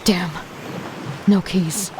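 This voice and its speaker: A woman giving steady first-person narration for an audio drama. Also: fairly low pitch, very slow, very noisy. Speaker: narrator and lead voice actor.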